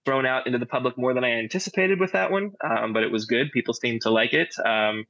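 A man with a middle-pitched voice talks casually as an interview guest. His manner is modest and understated. The sound is very dry and slightly dull, lo-fi. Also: fast talker, a bit bright, no room sound. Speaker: audio drama director and sound designer.

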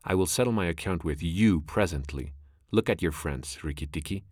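Man playing a deep-voiced, hissing female cobra from a storybook, cold and vengeful. She drawls her threats slowly over a close, dry mic. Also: darkish tone, breathy edge, no room sound. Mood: menacing.

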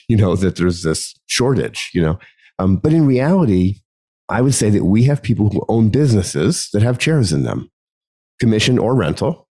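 Low, dark-voiced man with a cold. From United States. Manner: conversational, frequent ums and restarts. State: has a cold, gravelly voice. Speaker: podcast host. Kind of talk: solo podcast monologue.

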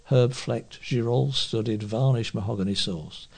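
Husky British man reading a review aloud. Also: mid-range voice, unhurried. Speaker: volunteer newsreader for a local talking newspaper.

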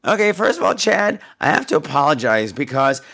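Higher-voiced, campy man with a raw throat. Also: big pitch swings, fairly quick, gravelly, sharp tone, husky. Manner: campy, catty, profane, sarcastic. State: laryngitis, raw sore throat. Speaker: podcast host taking listener voicemails. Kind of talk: casual, off-the-cuff talk show chat.

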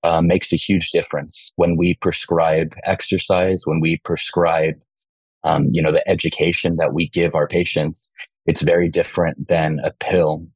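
Flat, husky low-voiced man, dry sound. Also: no echo, rough recording. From Texas. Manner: measured, steady, frequent ums and uhs. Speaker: guest, rehab director. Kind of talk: interview answers. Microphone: remote call.

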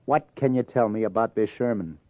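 An actor playing a hard-boiled New York police lieutenant in a radio crime drama, clipped and wry. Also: male voice, fairly low pitch, brisk, no echo, natural voice. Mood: pressing. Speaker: lead actor, police detective. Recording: tinny vintage radio broadcast.

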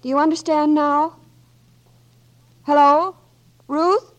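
An actress playing a gentle, earnest Red Cross worker, speaking with some hesitation, in 1940s radio acting. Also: female voice, high-pitched, steady tone, takes it slowly.

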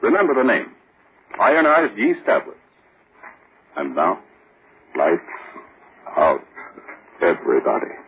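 A man working as a solemn 1940s radio announcer, with a measured, ominous delivery. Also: lowish voice, huge pitch swings, slight background noise. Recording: thin, narrow-band 1940s radio broadcast.

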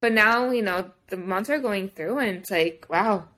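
A woman's voice: medium pitch, normal speed, fairly bright tone. A woman in her thirties hosting a podcast, talking candidly and laughing easily in casual chat. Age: 30s. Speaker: podcast host.

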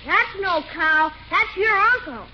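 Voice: very high voice, expressive, slow, full character voice. A performer playing a small, contrary, whiny little boy in 1940s radio comedy, childish and plaintive. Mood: eager. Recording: muffled, very noisy 1940s radio recording.

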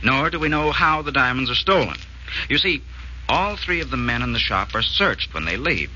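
A man's voice: mid-range voice, lively intonation, normal speed, sharp tone. An actor playing a polite, persistent male insurance agent with a coaxing, hesitant manner, in 1940s radio style. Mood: earnest, explaining. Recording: muffled old radio recording, faint hiss.

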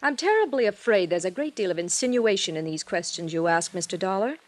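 A woman's voice: medium pitch, animated, a little fast, close to an everyday voice. An actress playing a prim, defensive, well-to-do married woman of middle age in 1950s radio drama style, proper and easily indignant.

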